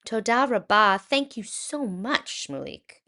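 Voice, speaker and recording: A woman voicing a cheerful, enthusiastic town mayor in a children's picture book, in an animated, proclaiming manner. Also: medium pitch, slow pace, pitched above the performer's own voice, exaggerated voice. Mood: delighted.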